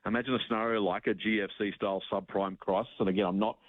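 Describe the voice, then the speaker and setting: A man's voice: lowish voice, steady tone, rapid-fire, dry recording. A man with an Australian accent giving informal, explanatory finance commentary as a radio guest, using examples and asides. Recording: narrow tinny phone-like line.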